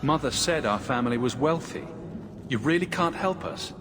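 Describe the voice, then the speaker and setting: A man's voice: mid-range voice, expressive, moderate pace, natural voice. Man playing an earnest young hero, music behind. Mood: concerned. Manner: direct, questioning. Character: young refugee mage hero, earnest and questioning. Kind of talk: video game voice acting.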